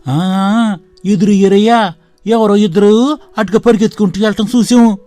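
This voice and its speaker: A woman's voice: medium pitch, steady tone, normal speed, dark tone, no room sound, faint music behind, natural voice. A woman voicing a sly, scheming male thief. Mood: fawning.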